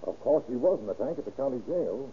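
Tinny hissy old radio, actor playing male police detective, brisk, clipped, commanding, very animated pitch. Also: lightly acted. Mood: pondering, confiding. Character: brisk, hard-nosed male homicide detective. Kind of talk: 1930s radio acting.

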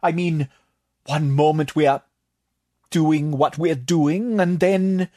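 A man voicing a frantic, scheming young female student who rambles in a high, pleading voice. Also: takes it slowly, darkish tone, no echo, studio quality. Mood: urgent.

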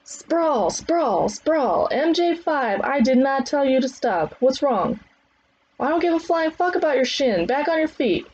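A woman voicing a loud, foul-mouthed, demanding old combat trainer who barks commands in an audiobook. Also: mid-range voice, lively intonation, moderate pace, lo-fi sound, slight background noise, close to an everyday voice. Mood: commanding. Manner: barking commands. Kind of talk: acted audiobook dialogue.

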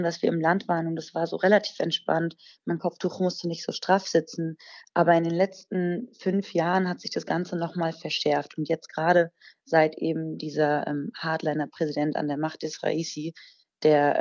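A woman's voice: low voice, dull audio. A woman in her twenties from Germany, a podcast guest explaining things earnestly with occasional fillers. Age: 20s. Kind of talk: conversational interview answers.

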